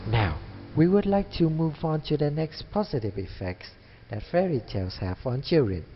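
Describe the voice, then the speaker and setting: A man's voice: a little high, sing-song, normal speed, faint music behind. A young man delivering a scripted student presentation. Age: young adult. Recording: dull audio with faint background noise.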